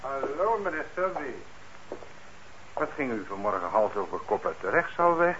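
An actor playing a calm official in a radio drama, explaining things in a measured, conversational way. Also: male voice, mid-range voice, natural voice. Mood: matter-of-fact. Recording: dull, noisy old radio recording.

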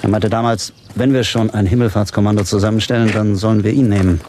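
An actor playing a grave professor who runs space missions in a radio drama, mellow, measured and formal. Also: male voice, fairly low pitch, fairly even intonation, a little hiss or hum, lightly acted. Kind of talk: German radio drama acting.

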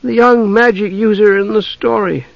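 Storybook young woman, played by a man: raised pitch, proud, evasive, slightly dull recording. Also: lively intonation, moderate pace, creaky, no room sound, heavily acted. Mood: haughty. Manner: hesitant, defensive, offhand boasting. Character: haughty, evasive young woman of mixed human blood.

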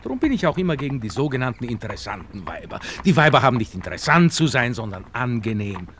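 An actor playing a protective, worldly young Viennese gentleman who lectures his friend genially and insistently in 1960s radio drama style. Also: medium pitch, very animated, fast talker. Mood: urging, persuasive. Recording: noisy old radio play with outdoor ambience.

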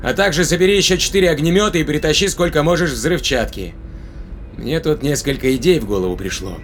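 An actor playing a grimly determined spaceship captain in a science fiction audio drama, blunt, terse and commanding. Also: male voice, fairly high pitch, quick pace, a little hiss or hum, close to an everyday voice.

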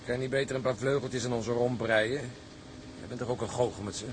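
A man's voice: medium pitch, steady tone, brisk, background noise, lightly acted. An actor playing a light-hearted, optimistic and teasing tugboat crewman in a seafaring audio drama, speaking expressively. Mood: questioning, teasing. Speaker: actor and narrator in a radio drama. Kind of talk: Dutch audio drama acting.

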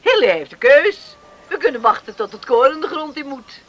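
A woman acting a caring, sensible farm matriarch in a Dutch radio play, in an expressive, reflective manner. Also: high voice, moderate pace, muffled recording. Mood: amazed, delighted. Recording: noisy radio play recording.

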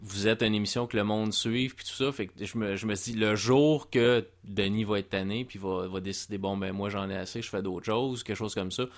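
A man's voice: lowish voice, fairly even intonation, brisk, few pauses. Chatty Quebec French man hosting. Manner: chatty, warm thanks, many repeated words. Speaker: podcast host. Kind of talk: casual podcast interview.

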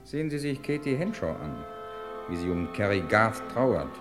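A man's voice: lowish voice, very animated, moderate pace. An actor playing a stiff, formal man in an old-style crime radio play. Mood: formal, reproachful. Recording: echoey room with music behind.

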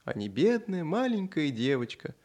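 A man narrating a children's audio story in an expressive, scripted storytelling style. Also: a little high, moderate pace.

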